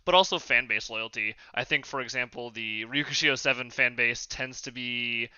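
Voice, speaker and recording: Guy hosting a podcast, talks fast, super bright crisp voice, kinda dull lo-fi mic. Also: medium pitch, no room sound. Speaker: podcast host. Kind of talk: casual podcast discussion.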